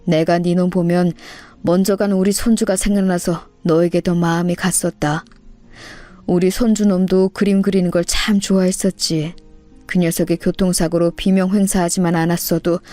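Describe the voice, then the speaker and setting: A woman voicing an elderly male school guard, gruff but tender, reading out his slow, plain-spoken farewell letter in a story. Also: medium pitch, warm tone, no room sound, close to an everyday voice.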